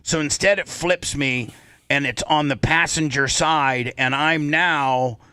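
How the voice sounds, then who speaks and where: A man's voice: fairly high pitch, slow pace, bright, forceful tone, clean tone, no room sound, studio quality. A man hosting a talk show, telling a story casually and animatedly, often repeating phrases for emphasis.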